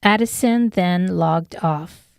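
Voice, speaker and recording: A woman's voice: fairly low pitch, slow pace, creaky, a little mellow, clean tone, dry recording, clean studio sound. A woman narrating a scripted fantasy audiobook as its storyteller.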